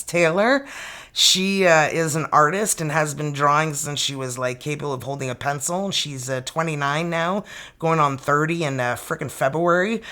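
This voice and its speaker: A tarot reader in their fifties, talking in a loose, chatty way and breaking into exclamations. Their speaking pitch sits in the middle of the usual range. Card quotes are read out slowly and carefully. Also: bright, forceful tone. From Canada. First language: French. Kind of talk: casual tarot reading to camera.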